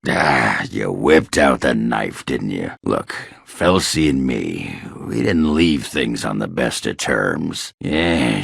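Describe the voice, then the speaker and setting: Crude dwarf warrior, extremely low pitch, heavy gravel, blunt swagger, fantasy game character. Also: male voice, fairly bright tone, dry recording, studio quality, exaggerated voice. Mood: grumbling, defensive. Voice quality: gravelly, rough, husky. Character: gruff, crude, boastful dwarf warrior, a berserker.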